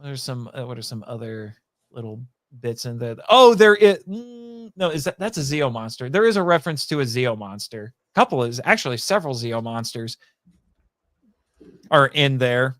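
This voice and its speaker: A man chatting casually as a podcast guest, animated, often interrupting himself and thinking out loud. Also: mid-range voice, moderate pace, no room sound, studio quality. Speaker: guest, audio drama writer and voice actor. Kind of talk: casual fan chat.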